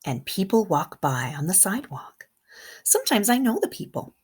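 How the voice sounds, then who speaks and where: A woman's voice: medium pitch, moderate pace, breathy edge. A kindergarten teacher, a woman, reading a picture book aloud expressively to young children and adding chatty comments as she goes. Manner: expressive, engaging young listeners with questions and comments.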